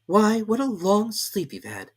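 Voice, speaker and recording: A man voicing a gentle, affectionate older sister in a storybook reading, coaxing a child awake. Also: high voice, big pitch swings, a little slow, slightly rough, voice pushed up, full character voice.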